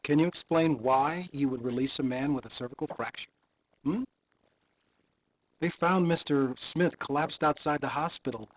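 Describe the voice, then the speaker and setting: Man as stern hospital supervisor, higher-set voice. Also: normal speed, close to an everyday voice. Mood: stern, disappointed. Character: stern supervising doctor judging a subordinate's work. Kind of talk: live-action game acting. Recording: dull lo-fi game video audio.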